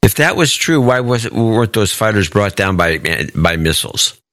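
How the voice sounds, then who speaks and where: A man's voice: lowish voice, very animated, normal speed, a bit bright, dry recording, clean studio sound. A man hosting a talk show, thinking aloud in casual conversation with a skeptical, questioning manner.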